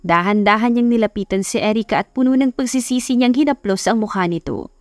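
A woman's voice: on the high side, fast talker, no echo, soft background music. A woman narrating a scripted romance story as an audiobook narrator.

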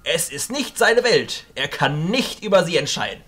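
High-voiced, excitable old wizard chancellor. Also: male voice, normal speed, breathy edge, full character voice. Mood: stern, defiant. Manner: declamatory, emphatic, swinging between hushed and booming. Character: commanding, bearded old wizard archchancellor, resolute and excitable. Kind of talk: fantasy audio drama acting.

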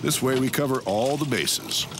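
A man's voice: medium pitch, normal speed, background noise. A man narrating and hosting a fishing television show in scripted narration. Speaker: narrator and host. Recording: music under the voice.

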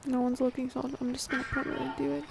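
A young woman doing casual gameplay commentary, muttering to herself with quick exclamations. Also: sits high, completely flat delivery. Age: young adult. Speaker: streamer playing a video game. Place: small indoor room. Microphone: close desktop microphone. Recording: clear game noise behind voice. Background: game sound effects and vehicles.

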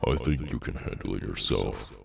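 A man plays a sleepy hooded henchman in a horror ASMR roleplay. His voice is rock-bottom low, husky and thick with creak. He grumbles briefly, weary and unbothered. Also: lively intonation, moderate pace, recorded from a distance, heavily acted. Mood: weary, dismissive.

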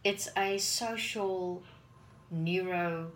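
A woman's voice: on the low side, fairly even intonation, extremely slow, a little hiss or hum, rough recording. A woman in her forties, a native Afrikaans speaker, talking earnestly to a phone camera in her home kitchen while doing chores, often repeating words as she searches for them. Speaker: parent vlogger. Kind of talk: casual talk to camera. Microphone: phone camera on the counter.

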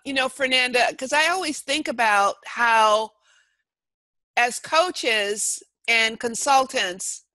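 A woman's voice: fairly high pitch, sharp tone, dry recording, poor audio. A woman hosting an interview, chatty and encouraging with her guest. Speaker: host, coach.

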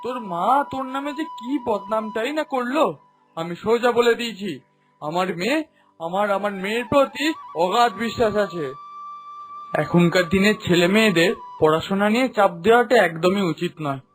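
A man plays an indulgent, protective dad in a cartoon. His voice is high-pitched and emphatic, and faint music plays behind him. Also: moderate pace, natural voice. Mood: proud, reassuring. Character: proud, indulgent middle-aged father, protective of his daughter. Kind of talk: animated cartoon voice acting.

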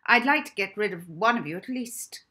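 Woman acting a loud, haughty orphanage mistress. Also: mid-range voice, expressive, normal speed, pitched above the performer's own voice. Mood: scornful, commanding. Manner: haughty, cutting, emphatic. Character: stern, contemptuous orphanage mistress making announcements. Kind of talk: children's storybook character voice.

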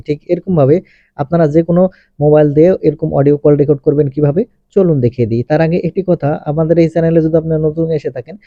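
A man's voice: fairly high pitch, no echo. A man presenting a step-by-step tech tutorial as a voice-over, with repetitive, methodical phrasing. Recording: dull, lo-fi close recording.

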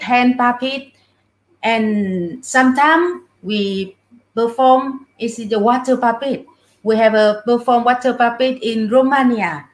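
A middle-aged woman with a Vietnamese accent, a puppeteer talking as an interview guest in simple, non-fluent English over a video call. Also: a little high. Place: indoor room, on a video call. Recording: roomy video call sound.

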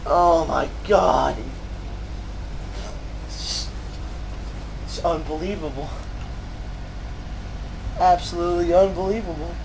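A woman's voice: lowish voice, takes it slowly, creaky, long pauses, background noise. A woman gaming creator delivering an angry, emphatic, repetitive rant full of swearing over gameplay. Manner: exasperated, profane, emphatic, repetitive.

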